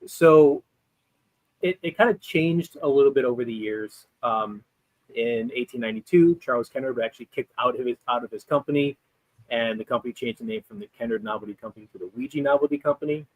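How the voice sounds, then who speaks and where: A man appears as a guest expert on a video call, explaining history in a loose, conversational way and often pausing on ums and restarting his sentences. His pitch is mid-range. The sound is dry and close. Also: no room sound, poor audio. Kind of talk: explaining history in casual chat.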